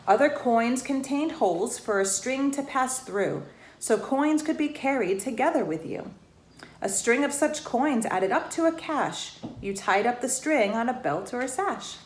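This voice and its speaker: A woman reading a rhyming children's storybook aloud in an expressive way, pausing to put questions to the young listeners. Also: on the high side. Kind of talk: children's storybook read-aloud, rhymed verse with asides to listeners. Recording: roomy echo, faint background noise.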